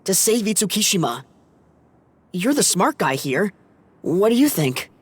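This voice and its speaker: Brief: a plainspoken young guy, husky, casual and hesitant. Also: sits high, big pitch swings, a little slow, no echo, full character voice. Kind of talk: game cutscene voice acting.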